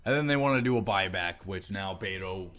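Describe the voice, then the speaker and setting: Low-voiced sarcastic American man over music. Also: a little fast, a bit bright. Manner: sarcastic, profane, scornful. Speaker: video commentator. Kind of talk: opinionated commentary, reading aloud and reacting.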